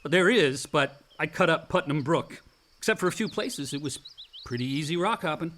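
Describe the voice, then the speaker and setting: An actor playing a sardonic, easily exasperated young adult son in a naturalistic radio play, speaking in casual, slangy, profane phrases. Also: male voice, a little high, lively intonation, moderate pace, a bit bright. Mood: teasing.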